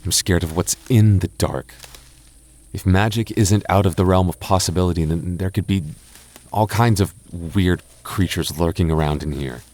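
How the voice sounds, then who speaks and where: Low-voiced young man, soft and halting. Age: young adult. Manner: soft-spoken, halting, jokey and reassuring; slow measured reading of a rhyme. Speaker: boyfriend in roleplay audio. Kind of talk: ASMR boyfriend roleplay.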